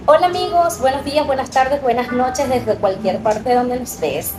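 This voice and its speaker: A woman's voice: sits high, moderate pace, reverberant, music in the background, poor audio. A Venezuelan woman with a Venezuelan Spanish accent, hosting an interview podcast and asking easygoing questions. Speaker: podcast host. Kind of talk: casual interview.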